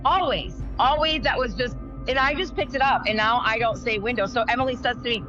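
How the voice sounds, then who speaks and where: A woman co-hosting a podcast, chatting in a lively, animated way with frequent ums. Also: a little high, a little fast, highs cut off, music in the background. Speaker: podcast co-host. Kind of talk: casual chat.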